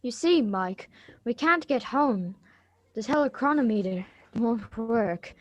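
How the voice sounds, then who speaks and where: An earnest, polite teenage granddaughter, acted by a woman in a radio drama. She has a fairly high voice and explains things carefully, recorded close and dry. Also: lively intonation, takes it slowly, dry recording, muffled recording. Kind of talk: live reading of a radio drama script.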